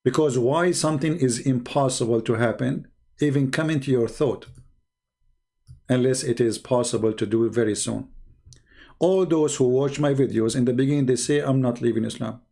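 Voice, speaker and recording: Man, gravelly voice, sarcastic, mocking livestream host. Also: medium pitch, moderate pace, dark tone. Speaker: channel host.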